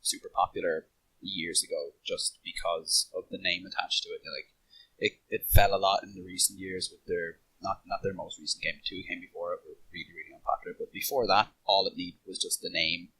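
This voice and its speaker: A man talking casually as a podcast panelist, haltingly, often repeating words as he thinks. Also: low voice, fairly even intonation, sharp tone, slightly rough, low-quality recording.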